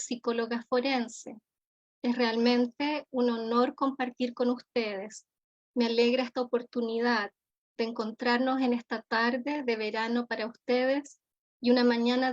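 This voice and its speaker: High-voiced Chilean woman giving a formal lecture. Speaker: forensic psychologist giving a keynote lecture. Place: remote online conference. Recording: dull, lo-fi audio.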